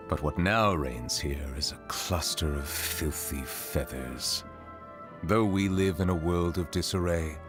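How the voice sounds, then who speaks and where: Deep, formal, dignified solemn judge. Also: male voice. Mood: solemn, resolute. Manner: formal, measured, eloquent, reserved. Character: dignified, solemn male chief judge, gentle and melancholic. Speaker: game character voice. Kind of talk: scripted video game character voicelines.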